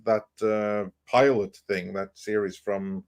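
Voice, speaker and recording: A man's voice: on the low side, fairly even intonation, slow pace, a crowd in the background. A man hosting a panel talk, arguing his points conversationally with emphatic, questioning speech. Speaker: panel host. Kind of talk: opinionated panel discussion, speculation.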